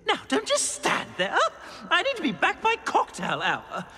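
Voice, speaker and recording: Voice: very high voice, huge pitch swings, a little slow, pushed and bright, long reverb tail, exaggerated voice. An actor voicing a vain, gossipy talking portrait in a video game, haughty and indignant. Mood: haughty, impatient. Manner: pompous, indignant, theatrical. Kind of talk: video game character acting.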